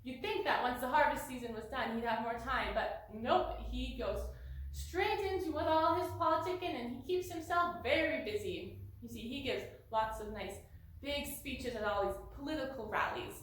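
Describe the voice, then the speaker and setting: A woman's voice: high voice, normal speed, lightly acted. A woman playing a chatty young pioneer girl from an 1890 farm family, talking folksy to listeners and sighing often. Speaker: museum costumed interpreter. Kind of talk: living-history monologue to the camera. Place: large barn. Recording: echoey, distant mic, faint noise.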